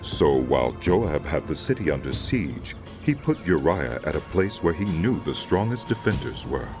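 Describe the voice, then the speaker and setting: A man with a deep voice serves as narrator of a dramatized scripture. His delivery is solemn storytelling with lively intonation. Loud music runs beneath him. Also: normal speed.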